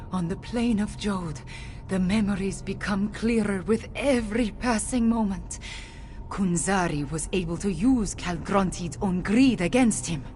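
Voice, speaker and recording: A woman's voice: medium pitch, darkish tone, a little hiss or hum, close to an everyday voice. Solemn, determined female fantasy guardian played by an actress. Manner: solemn, deliberate, declamatory. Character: grave, determined female guardian recounting ancient lore. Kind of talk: fantasy video game voice acting.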